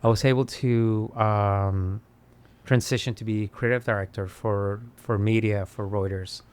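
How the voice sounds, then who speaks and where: A Spanish-speaking man from Colombia with a Colombian accent, chatting as a podcast guest in an animated way, laughing and restarting often. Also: fairly low pitch, measured pace, smooth. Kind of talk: casual conversation.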